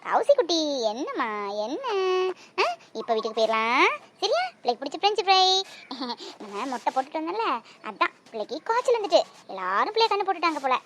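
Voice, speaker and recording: A woman's voice: sits high, big pitch swings, fast talker, a little hiss or hum. A woman playing a young mother who fiercely guards her child. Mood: soothing. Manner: coaxing to her child, sharp when confronted. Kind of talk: Tamil drama acting.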